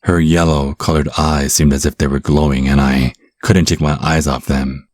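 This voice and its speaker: A man's voice: a bass voice, flat delivery, moderate pace, a little mellow, no echo, clean studio sound. A man playing an easygoing, sarcastic American on a date in an audio roleplay, wry and casual in his delivery. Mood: storytelling. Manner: wry, casual, sometimes flustered. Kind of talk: audio roleplay acting with first-person narration.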